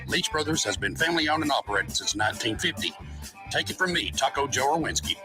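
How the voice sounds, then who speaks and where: A man reading local radio commercials in a folksy pitchman manner. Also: animated, fast talker, over music.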